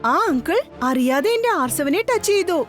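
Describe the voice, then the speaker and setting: A woman's voice: high-pitched, expressive, moderate pace, soft background music. A woman voicing a plucky, clever young boy hero in a cartoon, eager and commanding as he explains things. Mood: eager, confiding. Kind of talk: Malayalam cartoon dub acting.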